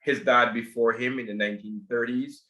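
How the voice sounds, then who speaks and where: Male guest, halting delivery with many restarts, roomy echo, mid-pitched voice. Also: fairly even intonation, sharp tone. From Barbados. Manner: hesitant, repeats words often. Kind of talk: podcast interview. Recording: echoey room.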